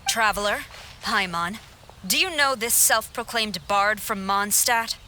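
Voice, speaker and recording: A woman's voice: mid-range voice, measured pace, bright, forceful tone, a little hiss or hum. A woman playing a stern young military general in a video game, formal and authoritative. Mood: stern, warning. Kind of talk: video game cutscene acting.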